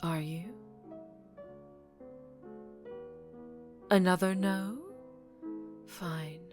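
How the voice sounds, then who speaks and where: A woman plays a dangerously charming female mafia boss in an ASMR audio drama. Her lowish voice moves very slowly, teasing and softly threatening, with phrases dropping toward a whisper. Faint music sits behind her. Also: fairly even intonation. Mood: teasing menace. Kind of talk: ASMR roleplay acting.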